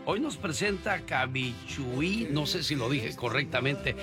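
A male radio host speaks in a formal announcer's manner. His voice sits somewhat high for a man. He addresses both his guest and the audience directly. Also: expressive, a little slow, over loud music. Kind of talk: radio interview.

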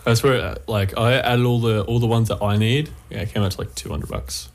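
A man's voice: fairly low pitch, steady tone. A young man chatting casually as a podcast co-host, upbeat and talkative. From Australia. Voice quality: upbeat, chatty, quick to laugh. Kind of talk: casual chat.

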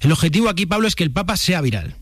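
A man's voice: high voice, very animated, rapid-fire, husky, dry recording. A man with an accent from Spain presenting a conversational radio segment in a playful, wry way. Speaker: radio show contributor. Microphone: close studio microphone.

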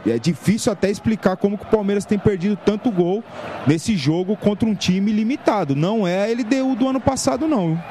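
A man's voice: high-pitched, warm tone, a little hiss or hum. A man answering a listener's question on a football radio show, reasoning it out with hesitant fillers.

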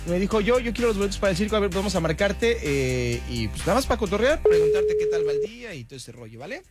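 A man's voice: high voice, wildly swooping pitch, quick pace, music in the background. A middle-aged man, hosting casual morning radio banter in a jokey, playful way. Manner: jokey, playful, shouts in mock announcer bursts. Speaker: radio host.

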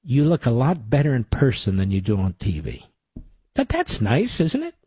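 Radio host guy, husky voice, dry close sound, keeps repeating himself for punch. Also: mid-range voice, wildly swooping pitch, normal speed, warm tone, no room sound. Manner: emphatic, repeats phrases for stress. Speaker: radio talk show host. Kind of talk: talk radio call-in.

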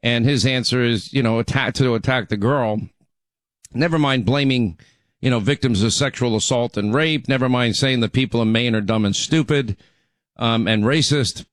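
Chatty middle-aged radio host. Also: male voice, medium pitch, moderate pace, no room sound. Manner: chatty, rambling, frequent restarts. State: recovering from laryngitis. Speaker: radio talk show host. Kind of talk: casual talk radio monologue.

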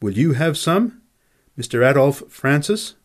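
A man voicing a courteous, soft-spoken man in a story reading, formal and deferential in his manner. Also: medium pitch, very animated, unhurried, pitched above the performer's own voice. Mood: courteous, calm. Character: courteous, soft-spoken male companion of a prizefighter.

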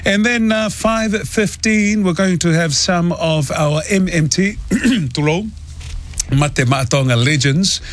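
A man's voice: high-pitched, slow pace. A man hosting a radio show, talking in an easy way with many fillers and switching between Tongan and English. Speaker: radio host.

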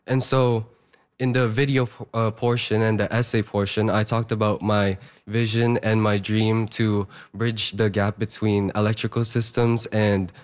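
Hoarse young man, low smooth voice. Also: little pitch movement, a little slow. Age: young adult. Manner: earnest, with many fillers. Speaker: scholarship-winning high school graduate. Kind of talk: radio interview guest.

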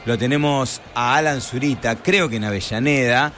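A man's voice: medium pitch, normal speed, fairly bright tone, slight background noise. A man hosting a live radio sports show, reacting in brief interjections and passing the air to his reporters.

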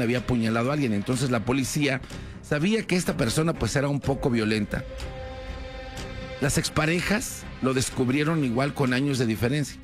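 A man telling a true crime story in a casual, conversational way, with many fillers and tag questions. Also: mid-range voice.

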